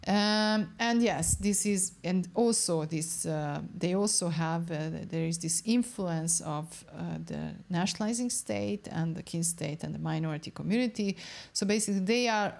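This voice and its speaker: A young woman with a low voice roughened by vocal fry answers interview questions about her research. She explains carefully and often pauses to search for the right word. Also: darkish tone.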